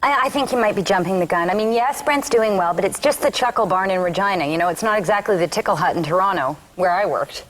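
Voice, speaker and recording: A woman's voice: mid-range voice, quick pace, hardly any pauses, close to an everyday voice, poor audio. A woman playing a sensible, patronising cafe owner in a sitcom read-through, matter-of-fact and corrective. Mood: lecturing. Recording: background noise in a room.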